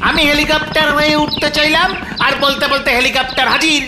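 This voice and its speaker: A woman's voice: sits high, fairly even intonation, a little fast, very noisy, close to an everyday voice. A woman voicing a cheeky, mischievous little boy sidekick in a children's cartoon, full of exclamations and wisecracks. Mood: delighted.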